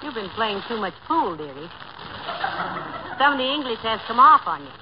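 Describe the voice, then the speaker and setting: A woman's voice: on the high side, very animated, normal speed. A woman playing a sensible, wry wife who deflates her husband in an old-time radio sitcom. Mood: wry, skeptical. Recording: very noisy, muffled old radio recording.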